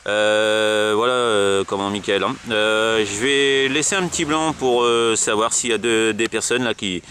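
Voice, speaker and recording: A man's voice: fairly low pitch, unhurried, pushed and bright, a little hiss or hum. A man from France with a French accent, chatting casually and hesitantly as a radio operator presenting his gear, often repeating himself. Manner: hesitant, repetitive. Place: outdoors at a roadside.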